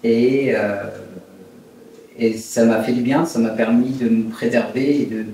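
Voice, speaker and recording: A man in his fifties from Brittany, France, telling his life story in an interview in a hesitant, reflective, halting way. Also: mid-range voice, steady tone, warm tone. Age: 50s. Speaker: interviewed entrepreneur. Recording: very echoey room, distant mic, faint noise.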